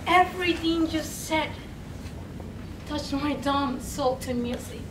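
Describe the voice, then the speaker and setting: A young woman performing a dramatic poetry reading, slow and pleading with sudden loud swells, in a large room. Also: high voice, recorded from a distance. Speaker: contest reader. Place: large college event room. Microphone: far camera, standing away from mic. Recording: echoey room recorded from afar, noisy.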